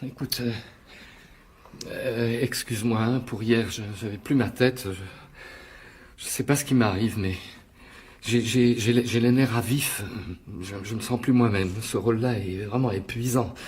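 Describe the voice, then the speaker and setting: An actor playing an intense, troubled stage actor in a French radio drama, with a husky voice. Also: male voice, medium pitch, steady tone, measured pace, a little mellow. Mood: pleading, agitated.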